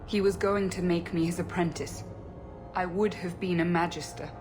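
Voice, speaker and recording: A woman's voice: lowish voice, steady tone, normal speed, music in the background, natural voice. An actress playing a young elf woman, the hero's sister, who speaks in a defensive, pleading way.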